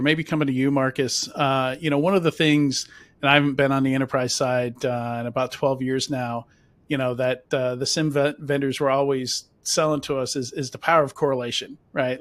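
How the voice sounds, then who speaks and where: A man's voice: mid-range voice, a bit bright. Filler-heavy male webcast moderator. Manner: rambling, filler-heavy questions. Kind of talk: casual panel moderation.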